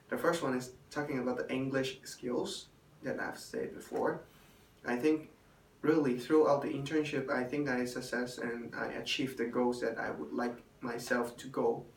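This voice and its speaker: A young man, a hotel intern and native Chinese speaker, giving a halting spoken evaluation in English with many fillers. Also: mid-range voice. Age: young adult. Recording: roomy, distant, faint room noise.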